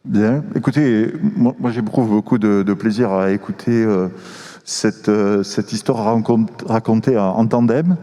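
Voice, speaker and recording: A man moderating a panel discussion with measured, formal and courteous phrasing. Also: fairly low pitch, warm tone. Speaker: forum moderator. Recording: reverberant hall sound.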